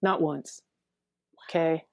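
A woman with a deep voice, a therapist speaking as a guest on a video call, talking frankly and earnestly with many fillers and restarts. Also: fairly even intonation, slow, dry recording, studio quality. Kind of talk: candid interview conversation. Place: small room at home with a window.

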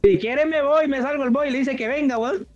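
A man's voice: extremely high pitch, fairly quick, dry recording, faint music behind. A man talking casually in slang over a gaming voice chat. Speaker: player in a gaming group. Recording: dull, dry voice-chat audio.

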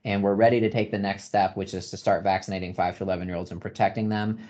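A man's voice: low-pitched, level tone, fast talker, a little mellow. A man answering as a physician panelist on a video call, explaining step by step and repeating phrases for emphasis. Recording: dull video-call audio.

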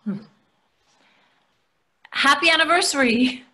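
A woman's voice: sits high, takes it slowly, sharp tone, heavily acted. A woman in her sixties playing a placating, dryly chiding wife in a readers theatre play. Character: placating, gently needling middle-aged wife. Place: plain room indoors on a video call.

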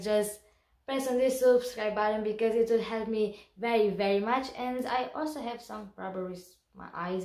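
A young woman from Romania with a Romanian accent, chatting to camera in a giggly, animated vlog. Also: mid-range voice, echoey room. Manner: bubbly, giggly, gestures a lot. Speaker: vlogger. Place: small room indoors.

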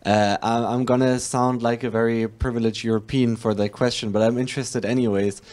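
A man's voice: medium pitch, steady tone, fairly quick, a little mellow. A man from Germany asking a question at a lecture, hesitant and a little self-conscious. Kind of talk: audience question.

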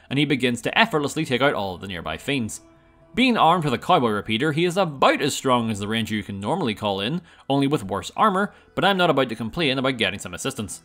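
A man's voice: mid-range voice, fairly bright tone. A man narrating a scripted video game challenge with dry, wry understatement. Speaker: YouTube gaming challenge narrator.

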